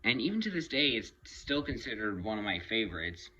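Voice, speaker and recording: Bright-voiced man rambling through a review. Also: on the low side, big pitch swings, husky, dull audio. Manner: halting, rambling delivery with pauses mid-phrase. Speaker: hobbyist reviewer presenting his collection. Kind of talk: casual unscripted product review.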